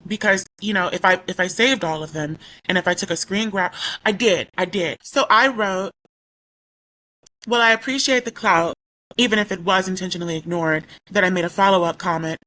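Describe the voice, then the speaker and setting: A woman talks through a commentary vlog in a low-set but bright, forward voice. She is still recovering from losing her voice. She sounds indignant and rambles, restarting her sentences as she reads her own comments aloud. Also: normal speed, low-quality recording. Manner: indignant, rambling with self-corrections. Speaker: YouTuber.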